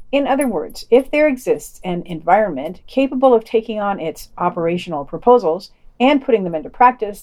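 A woman's voice: on the low side, darkish tone. A woman hosting a podcast, reading scripted news items and adding dry, wry asides.

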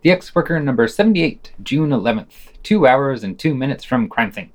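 A man's voice: mid-range voice, lively intonation, fairly quick. A man presenting a podcast, reading scripted reviews with dry commentary. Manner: reads quotations aloud, marking quote and unquote.

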